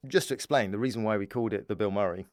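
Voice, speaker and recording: A man's voice: on the low side, rapid-fire, darkish tone, no room sound, studio quality. A British man, a stand-up comedian chatting casually and playfully on a podcast, riffing and joking as he goes. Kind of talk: casual comic chat on a podcast.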